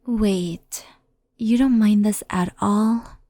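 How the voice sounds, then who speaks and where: Woman voicing a breathy, composed fox spirit. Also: mid-range voice, extremely slow, no room sound, studio quality. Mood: surprised, wary. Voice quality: breathy, soft. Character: stern, composed female fox-spirit yokai, cautious and scolding. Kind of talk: ASMR roleplay.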